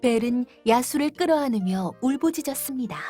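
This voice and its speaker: A woman narrating a children's fairytale in a clear, gentle read-aloud manner. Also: high voice, lively intonation, brisk, faint music behind. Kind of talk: scripted storybook narration.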